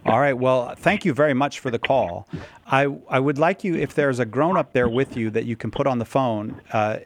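A man's voice: medium pitch, normal speed. A man hosting a call-in radio show, friendly and chatty, often restarting his sentences. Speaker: radio host.

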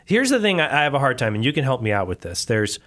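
A man's voice: medium pitch, huge pitch swings, fast, a bit bright. A man hosting a podcast in casual conversation, asking curious questions and introducing the topic in a chatty way. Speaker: podcast host.

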